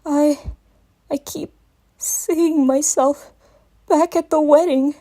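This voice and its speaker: A young boy dragon, acted out by a woman, pours out a fright through sobs. The voice is very high and pushed, breaking and stammering with whimpers. It belongs to a dramatic storybook-style reading. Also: steady tone, takes it slowly, warm tone. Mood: shaken, whimpering. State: crying. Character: distraught young male dragon confessing a nightmare. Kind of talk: dramatic reading of fan fiction.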